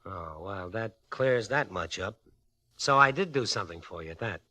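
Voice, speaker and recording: An actor playing a cocky, slangy drifter with a grudge, tough and sardonic, in a 1950s radio drama. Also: male voice, fairly low pitch, animated, moderate pace, pushed and bright. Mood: placating, brash. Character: cocky, brawling drifter with a grudge, hardboiled narrator. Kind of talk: 1950s radio drama acting with first-person narration. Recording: 1950s radio recording with music.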